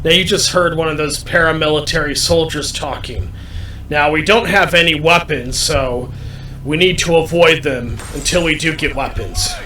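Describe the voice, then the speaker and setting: A roomy, distant recording of a man commentating a video game. His voice is bright and forceful, and he explains each step deliberately, circling back over his points. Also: fairly high pitch. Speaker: gamer commentating a playthrough. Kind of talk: gameplay commentary, explaining controls to viewers. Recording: roomy, distant mic, faint background noise.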